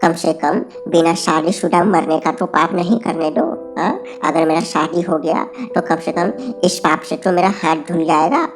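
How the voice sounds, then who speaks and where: A woman's voice: low-pitched, steady tone, moderate pace, close to an everyday voice. A woman voicing a reluctant, grumbling little male cartoon dwarf, comically aggrieved, in colloquial Bihari-accented Hindi. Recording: faint background music.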